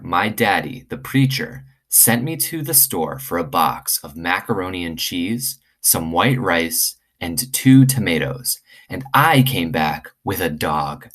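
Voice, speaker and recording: A man reading aloud to children in an expressive storytelling style. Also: low voice. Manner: expressive, acts out dialogue. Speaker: teacher reading aloud to students.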